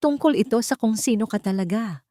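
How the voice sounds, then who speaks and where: A woman's voice: a little high, animated, a little fast, warm tone, dry recording, pitched above the performer's own voice, natural voice. Betrayed husband, cold, stern, accusing, audio story melodrama; played by a female narrator. Dry sound. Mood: stern, cutting. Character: cold, furious betrayed husband confronting his deceitful wife.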